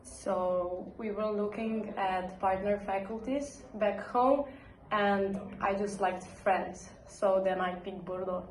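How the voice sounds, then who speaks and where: Slow-talking young woman from Slovenia. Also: medium pitch, steady tone, reverberant, slight background noise, rough recording. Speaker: master's student interviewee. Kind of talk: casual interview answers.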